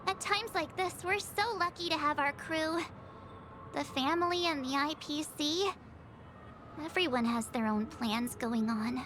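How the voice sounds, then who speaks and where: A woman's voice: sits high, unhurried, noisy background. A woman voicing a sweet, sensitive young girl in a video game, earnest and wistful. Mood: wistful, fretful. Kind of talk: video game story dialogue acting.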